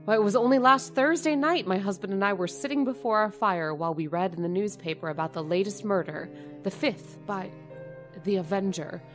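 A woman's voice: medium pitch, brisk, lightly acted. A fretful, thrifty landlady who stammers, hurries and fusses eagerly over her guests, as a woman acts her out. It is an old-time radio horror play with faint music behind. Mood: anxious. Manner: flustered and stammering, eager to please. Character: anxious, eager landlady, middle-aged, thrifty. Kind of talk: radio drama acting.

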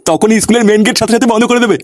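A man voicing a bossy, smooth-talking, lecherous school principal in a comic cartoon. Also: high-pitched, very fast, noisy background, lightly acted.

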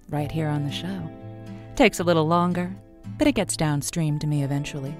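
A woman's voice: lowish voice, close to an everyday voice. An actress playing a wry, feisty woman who hosts a late-night radio advice show, playful and musing in manner. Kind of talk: fiction podcast acting as late-night radio monologue.

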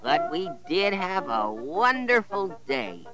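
A man's voice: sits high, slow, over loud music, pitched above the performer's own voice, full character voice. A man voicing an eager, cheerful young boy in a children's storybook reading. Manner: excited.

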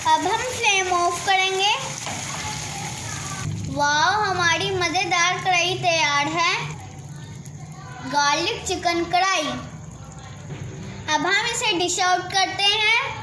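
A young girl who hosts a cooking show, explaining each step of a home recipe slowly and carefully. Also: extremely high pitch, bright, forceful tone, slight background noise. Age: child. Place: home kitchen. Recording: echoey kitchen with sizzling frying. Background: frying and sizzling.

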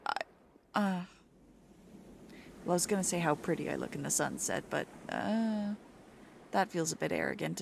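A young woman doing a soft, playful, teasing ASMR girlfriend roleplay. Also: on the low side, vocal fry.

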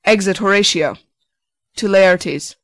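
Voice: medium pitch, takes it slowly, a bit bright, no echo. A narrator reading stage directions in a plain, scripted style.

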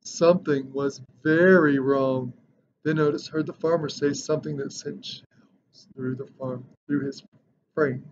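A man in his thirties reading a children's picture book aloud with expressive, dramatic storytelling. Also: on the high side, measured pace. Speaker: storybook reader. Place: indoor decorated room. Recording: dull lo-fi sound with background noise.

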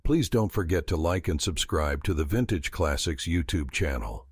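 A male host delivers scripted introductions in a deep, husky voice. His pitch varies widely over faint music. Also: animated, normal speed. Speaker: channel host introducing stories. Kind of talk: scripted narration.

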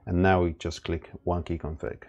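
A man narrating a casual step-by-step product setup tutorial in a plain, halting instructional way. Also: sits low, steady tone, gravelly, darkish tone. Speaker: product demonstrator. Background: background music.

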